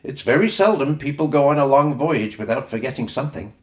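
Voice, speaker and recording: Storybook mother, played by a male reader: kindly, careful, gently practical, lifted pitch, roomy sound. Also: animated, a little fast, lightly acted. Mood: gently checking.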